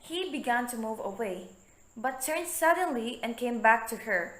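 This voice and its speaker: A woman narrating a story in a measured, hushed way for a reader's theatre. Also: fairly high pitch, animated. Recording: echoey room.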